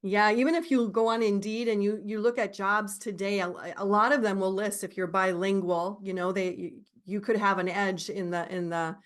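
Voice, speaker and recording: A woman in her forties from Minnesota, hosting a casual chat, animated and encouraging. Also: medium pitch, moderate pace, hardly any pauses. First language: English. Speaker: podcast host and Spanish teacher.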